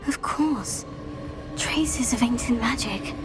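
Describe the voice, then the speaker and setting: A woman's voice: on the high side, takes it slowly. A woman playing a curious, composed teenage witch in a fantasy video game. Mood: intrigued. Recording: music behind the voice.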